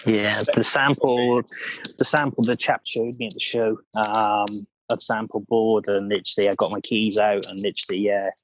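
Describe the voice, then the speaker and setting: A man's voice: on the low side, little pitch movement, normal speed, a little hiss or hum, rough recording. A man with a Welsh accent, a guest in a casual podcast chat about painting and decorating, who talks hesitantly with many ums and restarts.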